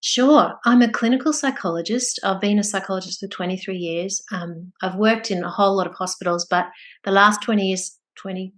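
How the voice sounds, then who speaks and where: Middle-aged woman, mild australian accent, energetic and bubbly, middle pitch. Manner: energetic, bubbly, quick to laugh. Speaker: clinical psychologist guest. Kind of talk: casual interview chat.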